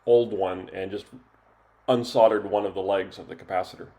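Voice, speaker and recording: A man's voice: sits low, fairly even intonation, moderate pace, mellow. An older man giving a casual, explanatory hobby demonstration in plain narration. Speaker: hobbyist presenter demonstrating a restored radio.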